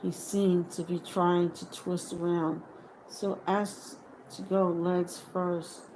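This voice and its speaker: Woman, audiobook narration, lowish pitch, slow deliberate phrasing, dramatic, background noise. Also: level tone, a little mellow, rough recording. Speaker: audiobook reader. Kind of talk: dramatic scripted fiction narration.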